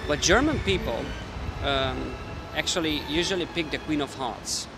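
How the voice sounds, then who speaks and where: A man's voice: a little high, animated, normal speed. A man with a Hungarian accent, a mentalist explaining his card tricks casually to the camera while thinking aloud. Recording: street noise with passing traffic.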